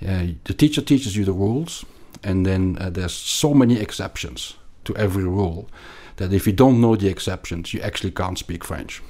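Finance guest: middle-aged Belgian man, accented, very deep voice, halting delivery. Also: darkish tone. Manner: hesitant, many repeated words and restarts. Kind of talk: conversational interview.

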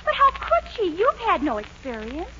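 An actress playing a hurt, indignant young American sweetheart in a melodramatic 1940s radio comedy style. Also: female voice, extremely high pitch, huge pitch swings, moderate pace, sharp tone, exaggerated voice. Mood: incredulous, hurt. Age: young adult. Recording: very noisy, narrow-band old radio recording.

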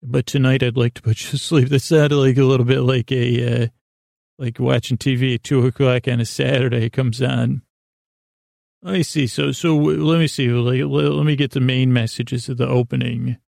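A man hosting a bedtime sleep podcast, rambling in a halting, stammering way and often trailing off mid-thought. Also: medium pitch, level tone, a little mellow, dry recording, clean studio sound. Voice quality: gravelly, creaky. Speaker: podcast host.

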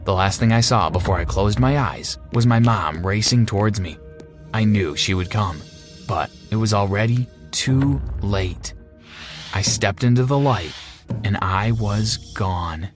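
A man's voice: fairly low pitch, natural voice. A man playing a repentant teenage bully, narrating his own story in a dramatic, earnest first-person style.

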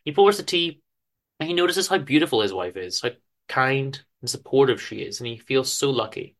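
A man's voice: medium pitch, animated, normal speed, fairly bright tone. A man giving a dramatic reading of his own story, with wry, informal asides.

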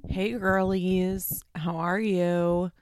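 A woman's voice: fairly low pitch, flat delivery, extremely slow, a little mellow, soft background music. A woman hosting a podcast in casual chat, sounding stuffed up and congested, talking loosely with lots of "like" and the odd swear word. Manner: chatty, frequent "like" fillers, swears casually. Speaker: podcast host.

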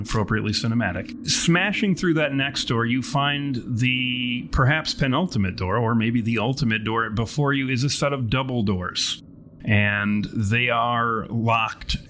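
A male game master delivers dramatic tabletop narration with florid, theatrical phrasing. His voice sits at an average pitch for a man, with some noise behind it. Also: moderate pace, fairly bright tone. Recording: noticeable background noise.

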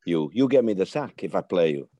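A man's voice: low-pitched, a little fast, no echo. An older man, telling football stories in casual chat and acting out the dialogue. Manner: animated storyteller, acts out dialogue.

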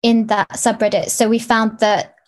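A woman with a fairly high-pitched voice explains research findings. She speaks conversationally, as a data journalist would when walking a colleague through the numbers. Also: flat delivery, normal speed.

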